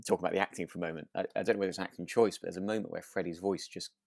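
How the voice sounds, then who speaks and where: A man with a very low, creaky voice chats casually about a radio drama as a co-host. He feels his way through sentences, repeating words, in a dry, close sound. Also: fairly even intonation, racing, a little mellow, dry recording. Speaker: podcast co-host.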